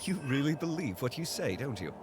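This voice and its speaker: A man's voice: mid-range voice, sing-song, a little fast, background noise, lightly acted. A man voicing a proud, possessive royal fiancé in a game cutscene, speaking formally. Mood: commanding. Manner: formal, stern and commanding.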